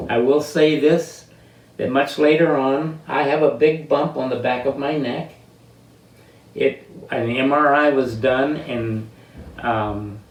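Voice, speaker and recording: An elderly man in his eighties from the United States telling personal stories in an unscripted, halting, deliberate way, with long pauses and drawn-out words. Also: medium pitch. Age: 80s. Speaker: interviewee telling life stories. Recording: roomy, distant microphone.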